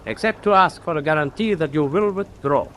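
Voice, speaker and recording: An actor playing a stiff, formal Norwegian naval officer in a radio drama, speaking with official insistence. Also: male voice, a little high, brisk, a bit bright, a little hiss or hum, lightly acted. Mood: stiff, insistent.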